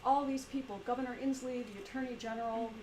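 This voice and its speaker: Quiet-voiced woman in an echoey room. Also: high voice, flat delivery. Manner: halting, many fillers and restarts. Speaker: meeting presenter. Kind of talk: casual group discussion. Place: meeting room with a small group. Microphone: shared room mic for a video stream. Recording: echoey room, background noise.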